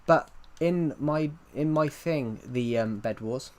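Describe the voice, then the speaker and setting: A man's voice: mid-range voice, slow pace. A man live streaming from his PC, chatting casually and rambling as he answers chat messages aloud, with a thick accent that leans hard on the R. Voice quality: thick accent, strong R sounds. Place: at home at a PC.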